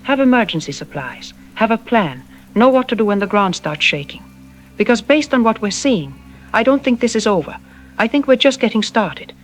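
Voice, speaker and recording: A woman's voice: on the high side, lively intonation, normal speed, soft background music, close to an everyday voice. An actor playing an earnest female seismologist giving urgent warnings in an audio drama news interview. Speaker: expert interviewee.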